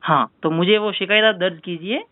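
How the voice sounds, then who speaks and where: A man's voice: sits high, fairly quick, dry recording. Insistent Rajasthani man on a phone line. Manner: insistent, repeatedly arguing the point. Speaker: caller filing a complaint with a helpline. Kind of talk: phone complaint conversation. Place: telephone call. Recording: narrow-band phone call recording.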